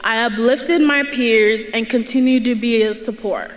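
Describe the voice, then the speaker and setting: Young woman, high voice, slow earnest speech, commencement podium, roomy hall echo. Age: young adult. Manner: earnest, laughs between lines, raises voice to cheer the crowd. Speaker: student commencement speaker. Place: large indoor ceremony hall. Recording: echoey hall with crowd ambience.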